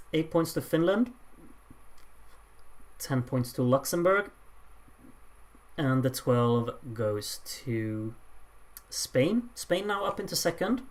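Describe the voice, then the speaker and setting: A mid-pitched voice hosts a live voting show in a casual way. The results are announced with stretched-out suspense, broken up by dry, offhand remarks to the viewers. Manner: dry, offhand asides to chat between announcements. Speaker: host announcing song contest voting results. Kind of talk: casual live commentary on a points vote.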